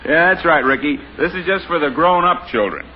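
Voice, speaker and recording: An American actor playing a hearty, jokey, theatrical neighbor in a 1950s radio comedy. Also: male voice, a little high, lively intonation, brisk, breathy edge, some chatter behind. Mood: jovial. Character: hearty, jokey male neighbor, grown man. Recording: muffled, noisy old radio recording.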